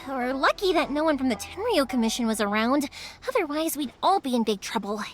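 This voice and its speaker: An actress playing a bubbly, caring young fireworks shop owner who talks brightly and patiently. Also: female voice, high-pitched, animated, moderate pace. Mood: warm. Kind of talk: game cutscene voice acting. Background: music.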